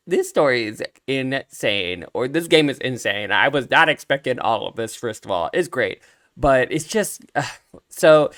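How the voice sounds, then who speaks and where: A man giving casual, animated game commentary as a let's play streamer, reacting aloud to the story. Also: mid-range voice. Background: game music.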